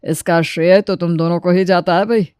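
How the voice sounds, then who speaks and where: A woman voicing a genial, approving older father-in-law in an animated family story. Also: fairly low pitch, fairly quick, smooth, no echo, studio quality, lightly acted.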